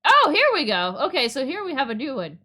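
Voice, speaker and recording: A woman's voice: high-pitched, big pitch swings, a little fast, bright, forceful tone. A playful, theatrical woman streaming a visual novel reading with chatty, exclamatory commentary. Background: music.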